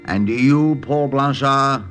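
Ceremonious officer playing minister, medium pitch, vintage radio drama, light music behind. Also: male voice, fairly bright tone. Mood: solemn. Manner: slow, ceremonious. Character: solemn army colonel posing as wedding minister. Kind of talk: 1940s-50s radio drama acting.

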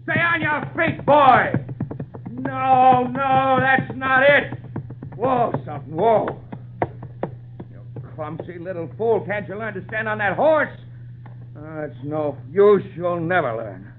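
An actor playing a harsh, bullying circus riding master, barking orders and scolding in old radio drama style. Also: male voice, high voice, animated, takes it slowly. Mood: angry, scornful. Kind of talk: 1940s-50s radio acting. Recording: tinny old radio recording with music.

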